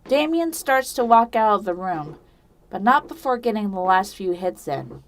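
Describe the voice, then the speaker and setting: A woman's voice: mid-range voice, sing-song, moderate pace. A woman reading scripted narration for a dramatic audio story.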